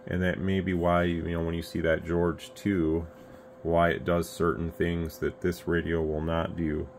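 An American man giving casual, unscripted product commentary as a video host, rambling along conversationally. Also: low voice. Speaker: radio reviewer, video host. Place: indoors at an electronics radio bench. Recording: steady background noise, room ambience.

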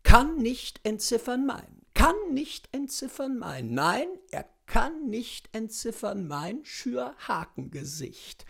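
A woman's voice: a little high, sing-song, takes it slowly, vocal fry, few pauses, fairly bright tone, slightly rough. A woman gives a mock-serious, declamatory dramatic recitation of lyrics as poetry, drawing out her words. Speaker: reader of literary texts. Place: indoors, room with bookshelves.

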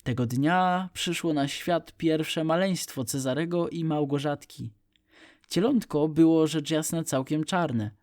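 A man reads a children's story as an audiobook narrator. His voice sits a little higher than most men's as he delivers the scripted tale. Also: a little slow, darkish tone, no room sound, clean studio sound.

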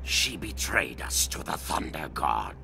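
An actor playing a brooding, menacing fantasy warrior in a video game, with a gravelly, grave delivery. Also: male voice, rumbling low, very animated, a little slow, over music, heavily acted. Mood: grim accusing. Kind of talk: cinematic fighting game acting.

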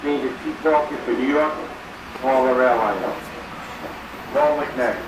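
A man makes formal, clipped announcements as a train conductor. His voice comes through a commuter train's PA speaker, sounding roomy and far from the mic. Loud train rumble runs underneath. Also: unhurried. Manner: clipped, formal station calls. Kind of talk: public address announcements. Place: inside a moving commuter train. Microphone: train PA speaker heard through a phone camera. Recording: echoey distant PA over loud train rumble. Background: loud rolling train noise.

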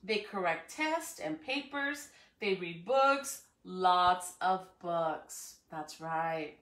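A woman's voice: on the low side, animated, very slow, a bit bright. A woman reading aloud to children on a livestream as a school educator, in an encouraging way, recorded at home from a few feet away. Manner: encouraging, addressing children with questions. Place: living room at home, indoors. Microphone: phone or camera a few feet away. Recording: echoey room, distant from the microphone.

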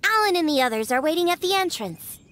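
A woman's voice: extremely high pitch, big pitch swings, fast talker, a bit bright, full character voice. A woman voicing a small, exasperated young cat-eared daughter in a video game, scolding and matter-of-fact. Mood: resigned, teasing.

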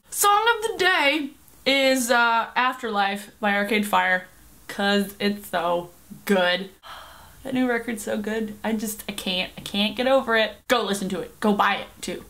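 Young female vlogger, easygoing chatty ramble, slightly high voice, close camera mic in a small room. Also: fairly bright tone. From Pacific Northwest, Seattle area. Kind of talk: casual chat to camera. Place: small cluttered bedroom, indoors. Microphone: camera at close range.

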